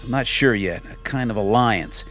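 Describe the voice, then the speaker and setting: An actor playing an impatient, ruthless alien officer in a radio drama, speaking in a curt, formal manner. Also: male voice, medium pitch, moderate pace, a bit bright, close to an everyday voice. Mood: formal, contemptuous.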